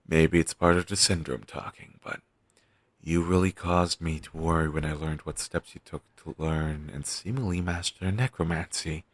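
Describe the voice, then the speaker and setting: A man playing a weary, caring undead best friend in an intimate audio roleplay, talking casually and earnestly with halting phrases. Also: deep voice, no room sound. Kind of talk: audio roleplay, intimate one-sided dialogue.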